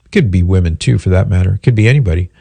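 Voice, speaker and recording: A low, mellow-toned man with a head cold, his voice a little nasal. He explains things at a measured pace, pausing on ums and restarting phrases. The studio sound is dry and close. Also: vocal fry, slightly rough, no room sound. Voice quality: slightly nasal. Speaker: radio call-in host. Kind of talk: call-in radio answering listener questions. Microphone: studio microphone.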